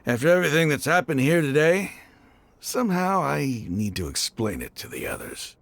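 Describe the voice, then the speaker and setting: A man's voice: on the high side, big pitch swings, normal speed, creaky, fairly bright tone, heavily acted. A man playing an embittered desert mercenary leader in a video game, speaking emphatically and with passion. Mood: chastened, resolute. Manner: emphatic, impassioned. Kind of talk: dramatic video game voice acting. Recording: background music under the voice.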